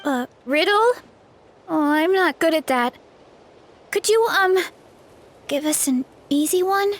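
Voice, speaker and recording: A woman playing a gentle, naive young warrior in a game, guileless and hesitant in manner. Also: extremely high pitch, expressive, slow pace, slight background noise, heavily acted. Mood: unsure.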